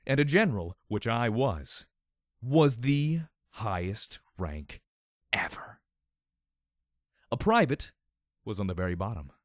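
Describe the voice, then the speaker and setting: A man's voice: lowish voice, no echo, clean studio sound. A man narrating a story in a theatrical, mock-serious, overly dramatic style.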